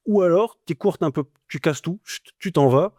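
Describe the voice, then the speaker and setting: A man with a northern French ch'ti accent recounts his years abroad in a lively, slangy manner, stumbling through restarts and fillers. His voice is mellow and dark, and it sits dry and close in the room. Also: fairly high pitch, normal speed, no echo. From northern France. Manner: animated storyteller, slangy, many restarts and fillers. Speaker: guest. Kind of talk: casual interview conversation.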